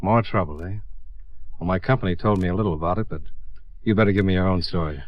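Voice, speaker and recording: An actor playing a businesslike insurance investigator in a 1950s radio drama, clipped and matter-of-fact. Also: male voice, low voice, fast, no room sound, close to an everyday voice. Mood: probing. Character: cool, businesslike male freelance insurance investigator. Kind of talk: 1950s radio drama acting and first-person narration. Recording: dull old radio recording.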